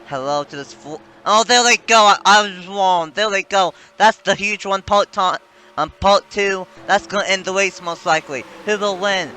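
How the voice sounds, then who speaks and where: A man calls a video-game stock car race in loose, self-correcting play-by-play. His voice sits a touch high and has a bright, pushed edge. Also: normal speed, clear, resonant tone. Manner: rambling, self-correcting live call. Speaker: sim racing commentator.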